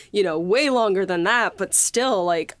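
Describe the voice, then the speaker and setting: A woman hosting a casual behind-the-scenes chat, gushing in a halting, conversational way. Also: mid-range voice, expressive, no echo. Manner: gushing, halting, peppered with 'like'. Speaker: podcast host and series writer.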